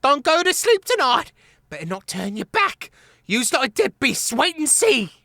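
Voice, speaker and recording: Casting: spiteful young rat villain, very high, shrill and jeering. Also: male voice, big pitch swings, moderate pace, pushed and bright, no echo, clean studio sound, heavily acted. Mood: furious. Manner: shrill mocking, whining threats. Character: spiteful young male water rat, taunting.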